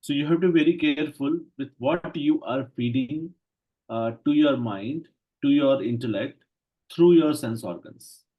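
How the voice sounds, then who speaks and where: A man gives a lecture in in short, measured phrases and stops after each one so it can be interpreted. His voice is husky and a little rough, pitched in an ordinary middle range. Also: narrow, tinny audio. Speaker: Ayurveda lecturer. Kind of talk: lecture in English, interpreted consecutively.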